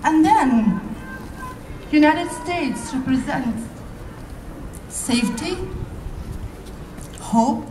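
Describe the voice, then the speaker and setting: A woman with a Somali accent addresses an outdoor rally in slow, measured phrases, leaving pauses between them. Her voice is on the high side and comes over a PA from far off, echoing through noisy surroundings. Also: expressive, a little mellow. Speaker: rally speaker. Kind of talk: public speech at a rally. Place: outdoor rally, recorded from within the crowd. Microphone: podium microphone heard from far camera. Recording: distant, echoey outdoor PA with noise.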